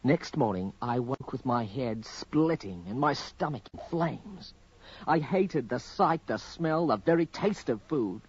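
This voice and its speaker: A man's voice: mid-range voice, expressive, unhurried, a little hiss or hum, natural voice. An actor playing a wretched, haunted young man in a radio horror drama, with an anguished, faltering delivery. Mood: haunted, despairing. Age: young adult. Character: tormented young man, haunted and wretched, narrating his downfall. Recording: dull, lo-fi vintage radio recording.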